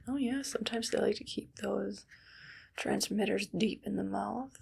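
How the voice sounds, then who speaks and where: A woman playing an evil, vain, paranoid doctor in an ASMR roleplay, speaking in a soft, hushed whisper with a calmly menacing manner. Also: medium pitch, normal speed, full character voice. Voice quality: soft, whispery, with creaky fry.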